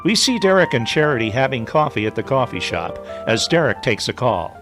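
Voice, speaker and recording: Lively male narrator with music behind. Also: mid-range voice, fairly quick. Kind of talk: scripted audio drama narration.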